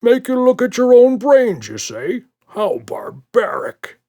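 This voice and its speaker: A man's voice: very high voice, big pitch swings, takes it slowly, dark tone, muffled recording, voice pushed up, exaggerated voice. A man voicing a stout, friendly monster in a children's audiobook, chummy and full of confidence. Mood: gallant. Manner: jovial, chummy, self-assured.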